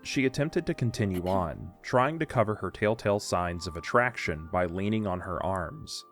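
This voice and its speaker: A man's voice: deep voice, lively intonation, moderate pace, faint music behind. A man narrating a scripted story for an audio drama.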